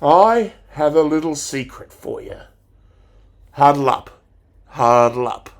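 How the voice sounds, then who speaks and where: A man playing a passionate, theatrical English teacher in a dramatic monologue, declaiming deliberately with pauses. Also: fairly high pitch, expressive, creaky, heavily acted. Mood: mocking, rallying. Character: passionate, theatrical male English teacher rousing his pupils.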